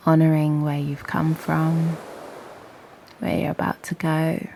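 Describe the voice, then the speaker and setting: A woman guides a meditation in a very slow, soothing delivery with long pauses. Her voice is low and breathy, often slipping into a half-whisper, with a creaky edge. Some ambient noise sits behind her. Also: poor audio. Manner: gentle, unhurried, with long pauses.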